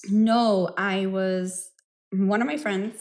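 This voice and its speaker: A young woman from Miami with a Cuban-American accent, chatting casually as a podcast guest, relaxed and quick to laugh. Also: mid-range voice. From Miami, Cuban family. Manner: relaxed, laughs often, short answers. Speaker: guest, adult performer. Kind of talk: casual interview chat.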